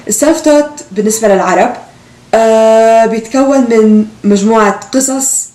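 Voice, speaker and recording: Palestinian young woman, very fast explanatory delivery, roomy echo, far from mic. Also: fairly high pitch, dark tone. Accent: Palestinian Arabic. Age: late teens. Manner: explanatory, quick. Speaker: student presenter. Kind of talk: explanatory video narration. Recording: echoey room, distant mic.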